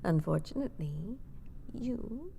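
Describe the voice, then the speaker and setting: A woman playing an imperious ancient vampire matriarch in a roleplay audio drama, breathy and creaky, speaking with haughty, languid, formal condescension. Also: on the high side, lively intonation, unhurried, mellow, a little hiss or hum. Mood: falsely sympathetic. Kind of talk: scripted roleplay audio drama, ASMR-like intimacy.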